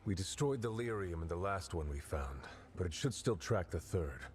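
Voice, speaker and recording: Very deep, grave rebel leader. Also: male voice, expressive. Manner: measured, serious. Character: grave, secretive male rebel leader called the Viper. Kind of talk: video game cutscene acting.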